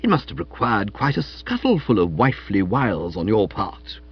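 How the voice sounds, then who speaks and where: Man playing a breezy young English toff, very animated. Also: on the low side, normal speed, slightly rough, muffled recording, pitched below the performer's own voice. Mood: breezy, boastful. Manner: breezy, florid, upper-class slang. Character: chatty, foppish, cheerfully pompous young English gentleman. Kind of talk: audiobook character voice.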